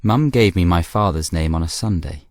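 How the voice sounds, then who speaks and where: Smug young british schemer confiding in a letter, really deep voice, knowing and arch, dry studio radio play. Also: male voice, no echo. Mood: confiding, measured. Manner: arch, measured, knowing asides. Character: self-assured, chatty, scheming young man, secret half-brother. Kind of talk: confiding radio drama monologue, reading a letter.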